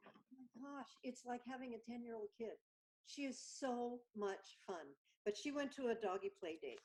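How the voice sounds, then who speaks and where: A woman guest chatting over a video call, telling stories with lively acted-out dialogue. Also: a little high, moderate pace, poor audio. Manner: animated storyteller, acts out dialogue. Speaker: guest artist. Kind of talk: casual video chat.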